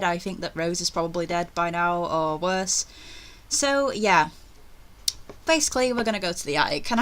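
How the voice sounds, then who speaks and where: A woman's voice: mid-range voice, a bit bright. A woman hosting a let's-play with casual commentary, giggly and a little nervous. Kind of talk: casual game commentary and read-aloud.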